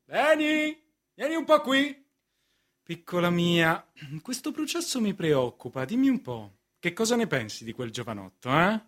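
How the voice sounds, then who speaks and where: An actor playing a self-important, ailing lawyer in a radio drama, theatrical, cajoling and patronising. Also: male voice, high voice, big pitch swings, a little slow, fairly bright tone, heavily acted. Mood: cajoling, weary. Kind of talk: radio stage drama acting in Italian.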